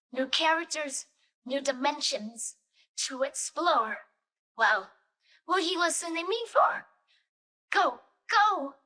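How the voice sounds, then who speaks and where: A bubbly anime heroine acted by a man through an AI voice changer delivers a peppy toy advert. The voice is high, girlish and sharply bright. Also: heavily acted, low-quality recording. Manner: peppy, salesy. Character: bubbly anime schoolgirl heroine pitching toys.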